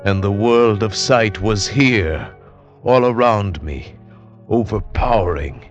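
A slow, earnest young man in love. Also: lowish voice. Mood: wistful narration. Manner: earnest, yearning, tender. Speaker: narrator and lead. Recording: lo-fi old radio recording with music.

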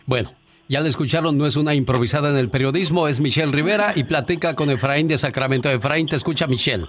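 A man hosting a radio call-in show, brisk and defensive with callers. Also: fairly high pitch, slight background noise.

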